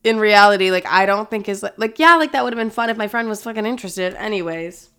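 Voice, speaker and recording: A woman's voice: mid-range voice, fast, a bit bright, smooth. A young woman chatting casually as a show host, telling stories in a sassy, gossipy way with dramatic emphasis and lots of filler words. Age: young adult. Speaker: host of a solo chat show. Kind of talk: casual confessional storytelling chat.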